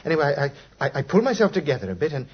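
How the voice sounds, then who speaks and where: Jittery British reporter, halting stammer, lively pitch swings, husky, old muffled radio play. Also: male voice. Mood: shaken. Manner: stammering, halting, repeating words. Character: nervous, shaken male newspaper reporter. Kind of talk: 1950s radio drama acting. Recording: dull, muffled 1950s radio recording.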